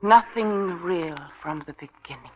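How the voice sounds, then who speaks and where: An actress playing a fragile, frightened wife who doubts her sanity, in halting, pleading 1940s radio drama style. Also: lowish voice, darkish tone, a little hiss or hum, natural voice, rough recording. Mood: desperate, insistent. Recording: old radio broadcast recording.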